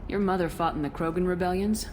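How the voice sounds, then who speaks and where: An actress playing a curious, composed space soldier in a video game, asking questions in a measured, probing way. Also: female voice, lowish voice, fairly even intonation, a little mellow, slight background noise, close to an everyday voice.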